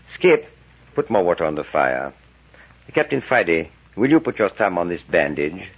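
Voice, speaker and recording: A man's voice: sits low, very animated, normal speed, husky, exaggerated voice. A man playing a commanding professor-surgeon in a 1940s radio drama, giving terse orders with muttered hesitations. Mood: grave, steady. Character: gruff, commanding professor-surgeon, calm under pressure. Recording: muffled vintage 1940s radio recording.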